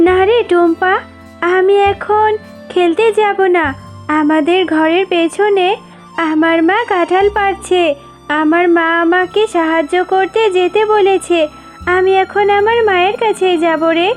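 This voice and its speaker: A kind young girl animal from a cartoon, played by a woman. She speaks very high, in an earnest and childlike way. Music is heard behind the voice. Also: steady tone, a little slow. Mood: matter-of-fact. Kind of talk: cartoon voice acting.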